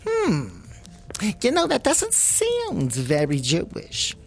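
A woman's voice: on the high side, huge pitch swings, slow pace, gravelly, heavily acted. Gushing, swooping lady rabbi. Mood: gushing, welcoming. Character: effusive matchmaking woman rabbi, chatty, secretly scheming host. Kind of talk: comedy audio drama acting.

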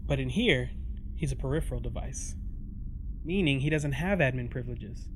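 A man's voice: mid-range voice, moderate pace, slight background noise, close to an everyday voice. An actor playing a confident, casual male scientist who pilots an experimental ship in an audio drama. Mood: casual, eager.

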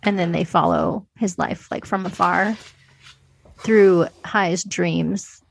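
A woman's voice: lowish voice, slow, vocal fry, slight background noise, poor audio. A woman co-hosting a podcast, discussing films casually in an informal, hedging, conversational way. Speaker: podcast co-host.

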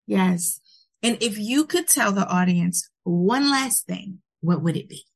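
A woman hosts a podcast interview, gushing with praise and thanks. Her voice sits at a middle pitch and she speaks in a casual, chatty way. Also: animated, measured pace, creaky, breathy edge. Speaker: podcast host.

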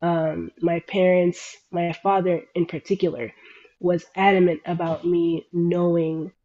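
A woman's voice: lowish voice, steady tone, a little slow, warm tone, muffled recording. A young woman who grew up between Sudan and the United States, talking reflectively as a guest and often restarting her sentences. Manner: reflective, searching for words with many restarts. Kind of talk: casual interview talk.

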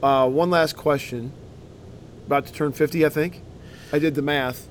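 A man's voice: fairly high pitch, fairly even intonation, moderate pace, slight background noise. A man in his forties hosting a podcast interview, asking reflective questions in an affirming way. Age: 40s. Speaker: podcast host. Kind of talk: casual interview. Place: outdoor conference grounds.

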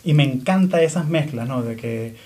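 A man's voice: medium pitch, normal speed, darkish tone. A man born in Venezuela and raised in Florida, interviewing a guest in casual chat, often backing him up with short affirmations. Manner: encouraging, frequent brief affirmations.

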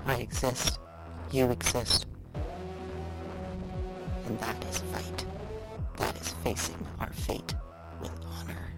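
A woman voicing a solemn inner voice that urges defiance, speaking in slow, measured phrases. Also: exaggerated voice. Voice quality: low and distant, spoken slowly. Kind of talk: audio drama acting. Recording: heavy music bed, distant voice.

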